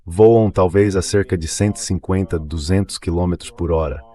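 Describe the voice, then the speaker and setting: A man's voice: low-pitched, fairly even intonation, moderate pace, dark tone. A man voicing a dubbed translation of an interview guest, explaining things in a conversational, anecdotal way.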